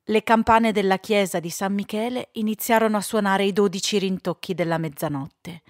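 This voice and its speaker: A woman narrates a storybook in scripted audiobook style. Her pitch sits in the middle, and the recording is dry and close. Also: steady tone, normal speed, dry recording.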